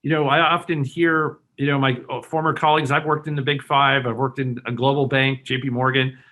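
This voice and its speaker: A man's voice: medium pitch, little pitch movement, brisk, bright, forceful tone. Earnest man arguing a point on a panel. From Hong Kong. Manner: earnest, persuasive, many restarts and fillers. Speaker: panelist, bank executive. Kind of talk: webinar panel discussion.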